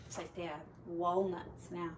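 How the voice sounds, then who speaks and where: Lady teacher doing a read-aloud, slow n expressive, bit far from the mic. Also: on the low side, very noisy. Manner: expressive, deliberate phrasing for children. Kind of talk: storybook read-aloud with casual asides on pictures.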